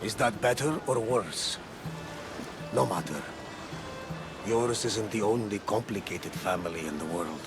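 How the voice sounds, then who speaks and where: An actor playing a loyal, devout older ship captain with a gravelly voice in a video game. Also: male voice, lowish voice, measured pace, long pauses. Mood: wry, friendly. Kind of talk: video game cutscene acting. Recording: background music under the voice.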